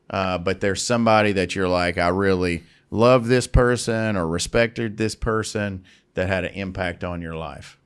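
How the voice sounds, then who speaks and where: A man hosting a casual podcast, upbeat and encouraging as he speaks to his guest. His voice sits a little low and comes through clean and clear. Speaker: podcast host. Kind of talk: casual interview chat.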